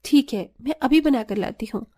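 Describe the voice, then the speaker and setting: A woman's voice: a little high, lively intonation, normal speed, warm tone, soft background music, natural voice. A woman voicing a cheerful, affectionate young bride in a cartoon family story.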